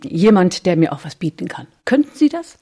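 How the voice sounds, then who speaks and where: Older woman pensioner, low-pitched, soft dark tone, blunt, demanding, conversational radio play. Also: big pitch swings, a little fast. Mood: blunt, dismissive. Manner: blunt, direct. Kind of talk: improvised conversational radio drama acting.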